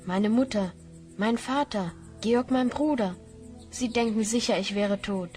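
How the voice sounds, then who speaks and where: A woman's voice: mid-range voice, a little slow, a little mellow, soft background music, lightly acted. A woman playing an earnest, polite young hero on a quest in a children's fantasy radio play. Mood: wistful.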